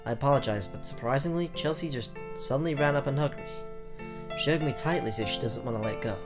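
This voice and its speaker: A man's voice: medium pitch, fast talker. A man hosting a let's play, reading game text aloud in a theatrical way with casual reactions. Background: game music.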